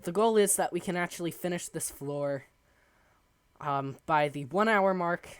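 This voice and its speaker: A young man with a hoarse, raspy voice chats through a live stream at his home desk. He talks slowly and haltingly, with plenty of filler sounds. The sound is dry and close. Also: fairly high pitch, dry recording. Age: young adult. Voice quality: raspy from coughing. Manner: rambling, halting, many ums. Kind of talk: casual live-stream chat while building.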